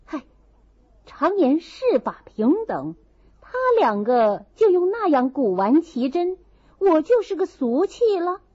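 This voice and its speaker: A woman voicing a playful, good-natured teenage boy aristocrat in a novel reading, with an eager, flattering manner. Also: high voice, slow, pitched above the performer's own voice, heavily acted. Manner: flattering, exclamatory. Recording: slightly dull, muffled recording.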